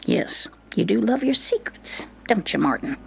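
Actress doing a gravelly hushed older mother, scornful, very husky. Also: medium pitch, big pitch swings, moderate pace, full character voice. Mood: whispering spite. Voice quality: whispering, raspy. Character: bitter older mother, snobbish church gossip.

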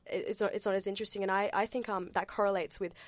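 A woman's voice: medium pitch, steady tone, fast talker, no echo. Hesitant Australian woman, dry sound. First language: not English. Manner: hesitant, full of fillers and restarts. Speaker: panel speaker. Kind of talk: discussion.

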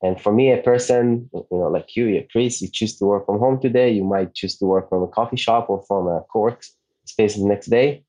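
Mellow husky Israeli-born man. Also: on the low side, moderate pace, poor audio. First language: Hebrew. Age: 30s. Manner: relaxed, restarts often, light laughs. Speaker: podcast guest, digital nomad. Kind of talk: casual interview chat.

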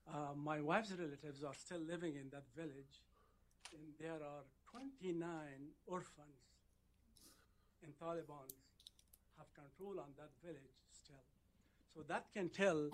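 A man from Afghanistan stands up at a press briefing to ask a question. He speaks in a fairly high register and pauses often with hesitant fillers as he builds his point. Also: a bit bright, slight background noise, low-quality recording. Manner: halting, with frequent "uh" pauses. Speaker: reporter asking a question.

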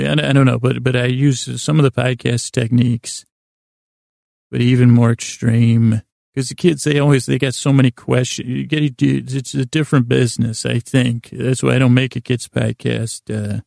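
A man hosting a bedtime podcast in a creaky voice, rambling in a lulling, halting, self-deprecating way. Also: mid-range voice, a little mellow, dry recording, clean studio sound. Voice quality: creaky, gravelly. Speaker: podcast host. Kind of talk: rambling, meandering bedtime monologue.

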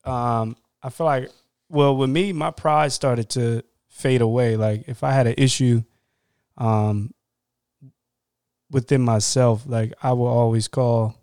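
A man co-hosting a podcast, asking questions in a supportive way with quick asides and filler words. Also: mid-range voice, mellow, smooth, no echo, clean studio sound. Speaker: podcast co-host. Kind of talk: casual podcast chat.

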